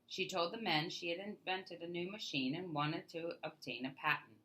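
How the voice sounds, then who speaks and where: A woman's voice: lowish voice, moderate pace, fairly bright tone, poor audio. A woman reading a children's story aloud as narrator, leaning into the start of phrases and trailing off at their ends.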